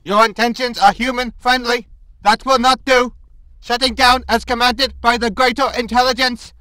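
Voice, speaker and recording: Casting: male actor as zealous sci-fi ship computer, high-pitched, declamatory, audio comedy. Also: steady tone, normal speed, pushed and bright. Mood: haughty, declaring. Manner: pompous, declamatory. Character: zealous ship computer obeying a greater intelligence. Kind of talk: science fiction comedy audio drama.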